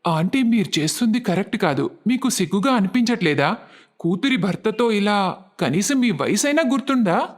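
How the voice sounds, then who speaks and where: A woman's voice: mid-range voice, animated, moderate pace, pitched below the performer's own voice, natural voice. A woman voicing a polite, uneasy young husband in a cartoon story, with a reserved manner. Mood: reproachful.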